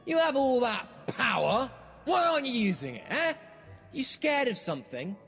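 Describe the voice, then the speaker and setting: Man playing animated time-traveller stallion, high-voiced. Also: moderate pace, creaky, husky, faint music behind, full character voice. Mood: taunting. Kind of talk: fan audio drama acting.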